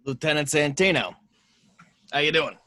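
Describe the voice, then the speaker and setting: A man's voice: a little high, normal speed, sharp tone. A man playing a jaded, sardonic private detective in an amateur screenplay table read. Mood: dry, sardonic.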